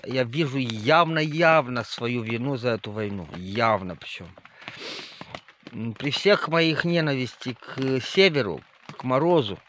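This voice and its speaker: A man from northern Moldova, speaking as a spiritual leader in a rambling monologue full of fillers, addressing listeners directly. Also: medium pitch. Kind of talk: spoken monologue to viewers. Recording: slightly dull lo-fi audio with faint noise.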